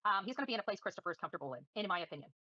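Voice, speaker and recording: A woman's voice: mid-range voice, lively intonation, racing, pushed and bright, dry recording, dull audio. A woman livestreaming commentary and answering viewers in a combative, defiant, scornful manner. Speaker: livestream host.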